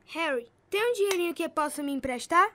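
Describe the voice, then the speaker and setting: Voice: extremely high pitch, animated, fairly quick, noisy background. An actor playing a spirited, resentful eleven-year-old farm boy in an earnest radio drama style. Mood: eager.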